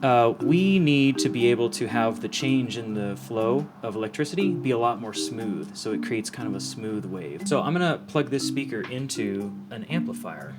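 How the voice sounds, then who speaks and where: A man's voice: mid-range voice, animated, moderate pace, hardly any pauses. Casual man explaining things, guitar music behind. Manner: informal, demonstrative, checks understanding. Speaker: audio professional explaining how speakers work.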